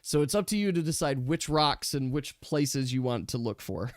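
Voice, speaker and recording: A man's voice: medium pitch, lively intonation, moderate pace, no room sound, clean studio sound. A man speaking as a game master, chatting casually and explaining things with emphatic repetition. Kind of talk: casual chat after a tabletop game session.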